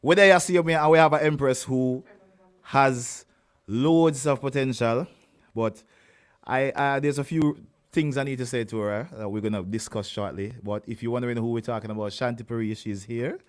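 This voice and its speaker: A man's voice: mid-range voice, normal speed, smooth. A man with a Jamaican accent hosting a radio interview show, teasing and laughing with his guests in casual banter. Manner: lively, teasing, laughs often.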